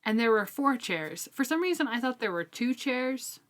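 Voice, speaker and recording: A woman co-hosting a casual book podcast, chatty and quick to laugh, still getting over a cold. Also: a little high, a loud crowd. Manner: laughs often, chatty and animated. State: recovering from a cold.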